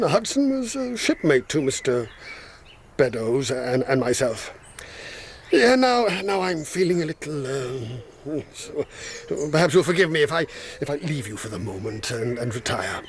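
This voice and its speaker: An actor playing a courteous, elderly country gentleman in a radio drama, hesitant and uneasy beneath his good manners. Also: a little high, sing-song, unhurried, slight background noise. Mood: faltering. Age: older.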